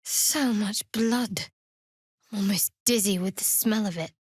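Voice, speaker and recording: A woman playing a guarded, sardonic young cleric of a dark goddess in a fantasy video game, speaking in a dry, measured way. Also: medium pitch, breathy edge, no echo. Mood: brooding, hushed. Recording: dry close studio recording.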